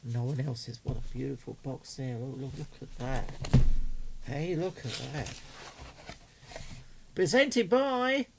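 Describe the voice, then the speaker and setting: A man talks casually and keenly, as if showing off new gear to his viewers. He is chatty and given to exclamations, at a middling pitch. Some background noise and small clicks sit behind his voice. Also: lively intonation, slow, long pauses. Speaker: radio hobbyist video host. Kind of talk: casual unboxing commentary.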